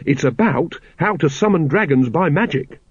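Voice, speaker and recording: A man's voice: a little high, lively intonation, normal speed, breathy edge, no room sound, dull audio, pitched above the performer's own voice. A man doing an eager young watchman. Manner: bright, enthusiastic, rule-quoting.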